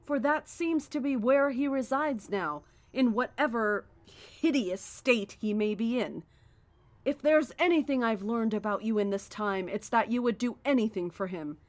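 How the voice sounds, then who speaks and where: A woman playing a calm, zealous cult leader in a horror audio drama, speaking in a measured, formal, persuasive way. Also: a little high, natural voice. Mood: coaxing, zealous.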